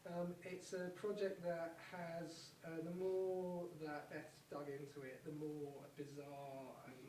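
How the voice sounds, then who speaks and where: A man's voice: a little high, flat delivery, takes it slowly, a little mellow. A man hosting an event, giving an informal, hesitant introduction, recorded from afar in an echoey, noisy room. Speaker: event host introducing a performance. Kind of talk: informal, unscripted welcome speech. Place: arts venue, recorded from afar. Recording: echoey, noisy, distant microphone.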